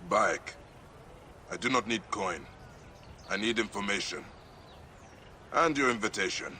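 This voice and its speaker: An actor playing a grim, menacing avenger in a video game, speaking in a terse, stern way. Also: male voice, on the low side, animated, a little slow, a little hiss or hum, heavily acted. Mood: stern, probing. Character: grim, menacing male avenger hunting a stolen relic. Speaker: game protagonist. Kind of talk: dramatic video game acting. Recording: loud background music.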